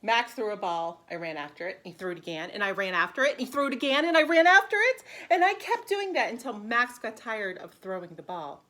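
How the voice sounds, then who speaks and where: A woman preschool teacher reading a storybook aloud in an animated, simple, child-directed way with expressive emphasis. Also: on the high side, brisk, few pauses.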